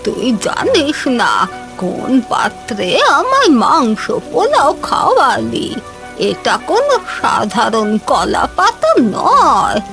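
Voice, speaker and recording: A woman voicing a frail, kindly old beggar woman in an animated village fable, pleading and tender. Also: high-pitched, slow pace, vocal fry, music in the background, full character voice. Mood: grateful. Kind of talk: Bengali animated moral story voice acting.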